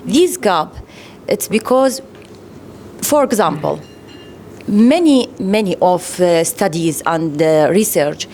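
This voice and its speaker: A woman from Iraq with an Arabic accent, speaking English as a second language at a round-table discussion, pausing often and restarting her sentences. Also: on the low side, lively intonation, a little mellow, slight background noise. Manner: halting, many fillers and restarts. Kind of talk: panel discussion remarks.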